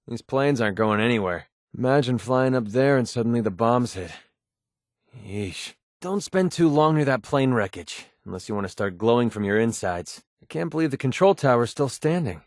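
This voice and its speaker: A man's voice: lowish voice, no room sound, clean studio sound, lightly acted. A man acting a cynical young American mercenary. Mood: wry, casual. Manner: sardonic, informal, wisecracking. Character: young cynical mercenary man, wisecracking, streetwise wasteland companion. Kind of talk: video game companion dialogue acting.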